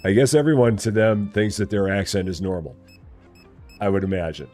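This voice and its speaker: A man's voice: lowish voice, brisk, warm tone, faint music behind. A man with a Midwest Illinois accent chatting casually during a live game stream, repeating himself and teasing playfully. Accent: General American, Midwest Illinois. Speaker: gaming streamer. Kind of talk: casual live-stream chat while playing.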